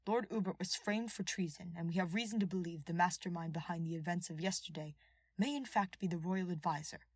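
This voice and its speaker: A woman's voice: lowish voice, brisk, breathy edge, dry recording. A woman voicing a loyal male vassal, firm and formal, in an audiobook court drama. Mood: firm, challenging.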